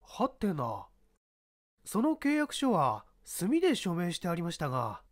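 A man's voice: sits high, wildly swooping pitch, unhurried, heavily acted. A man playing a courteous, inquisitive police inspector in a mystery story reading. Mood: probing. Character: polite, probing male police inspector. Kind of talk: radio-drama style mystery reading.

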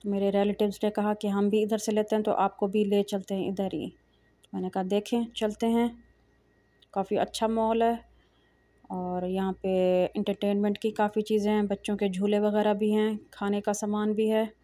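Soft-spoken middle-aged woman vlogging. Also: mid-range voice, moderate pace, no echo. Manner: calm, soft-spoken, frequent hesitations and pious phrases. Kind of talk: casual vlog narration. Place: large indoor shopping mall. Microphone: phone camera held while walking.

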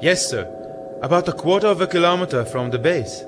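A man's voice: on the high side, expressive, fairly quick, noisy background, lightly acted. An actor playing a sombre, dutiful astronaut crewman in a radio drama, deferential and earnest.

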